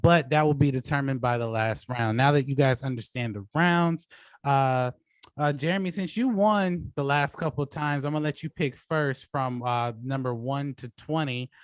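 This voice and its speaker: A man's voice: mid-range voice, moderate pace, clean tone, no echo. A man casually hosting and judging an online debate show, informal and given to chuckling. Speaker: debate show host and judge.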